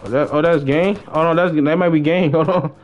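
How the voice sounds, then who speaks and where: A man's voice: on the high side, steady tone, brisk, a little hiss or hum. A man livestreaming a game, chatting casually in slang and often repeating his phrases. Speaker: livestreamer and gamer. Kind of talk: casual gaming livestream banter.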